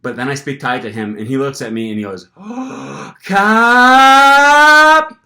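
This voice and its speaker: A man's voice: high-pitched, takes it slowly. Young man telling a story to camera. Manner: animated, lots of fillers and restarts. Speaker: language-learning vlogger.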